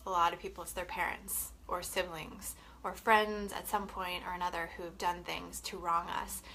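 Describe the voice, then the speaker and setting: A woman's voice: fairly low pitch, level tone, moderate pace, creaky, pushed and bright, slight background noise, rough recording. A young woman from Canada with a head cold, sounding sniffly, talking casually and chattily to camera. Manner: chatty, swearing, frequent "like" and "you know". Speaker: wellness vlogger.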